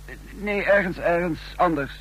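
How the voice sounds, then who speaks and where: An actor playing a bold secret agent who poses as a nervous, hesitant man, with halting formal speech in a radio drama. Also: sits high, a bit bright, breathy edge. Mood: evasive. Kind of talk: Dutch radio drama acting. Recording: noisy vintage radio drama recording.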